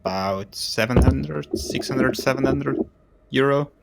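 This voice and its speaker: A man's voice: medium pitch, unhurried, vocal fry, soft background music. A man in his thirties from Europe chatting on a gaming stream, hesitant and searching for words. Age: 30s. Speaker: guest streamer. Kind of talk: casual group chat on a live stream.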